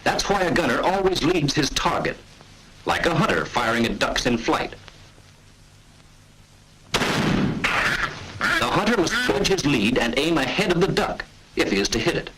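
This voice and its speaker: A man's voice: on the high side, big pitch swings, measured pace, long pauses, bright, forceful tone, a little hiss or hum, rough recording. An American man narrating a 1940s military training film in an authoritative, clipped, instructional manner, on an old film soundtrack.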